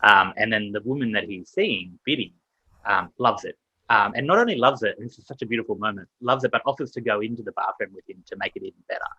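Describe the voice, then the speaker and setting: A man's voice: fairly low pitch, fast talker, pushed and bright, breathy edge, dry recording, muffled recording. A man interviewing an author at a festival, reflective and hesitant.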